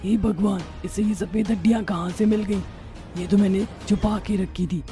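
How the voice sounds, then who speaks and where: A woman plays a witch from a cartoon tale, hungry for humans yet fiercely motherly. Her voice is mellow and sits at an ordinary pitch. She mutters and exclaims to herself with plenty of expression, over faint music. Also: steady tone, normal speed, close to an everyday voice. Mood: fussing, fond. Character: witch, hungry man-eater turned doting, protective adoptive mother. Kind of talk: Hindi cartoon story voice acting.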